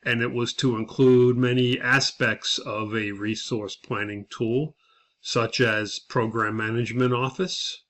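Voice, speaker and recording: A man speaks as an instructor walking through lesson material. His voice sits at an average male pitch, and his delivery is measured and explanatory. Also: steady tone, a bit bright. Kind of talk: lecture explaining a case study.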